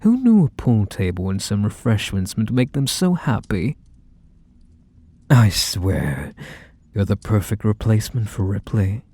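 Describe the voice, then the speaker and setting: A man's voice: lowish voice, big pitch swings, mellow, no room sound. A man playing a possessive, teasing mafia boss lover in an intimate ASMR roleplay, speaking in a mock-sweet, condescending way full of menacing affection. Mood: casually menacing. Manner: unhurried, mock-sweet, condescending pet names. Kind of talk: ASMR roleplay, intimate one-sided dialogue.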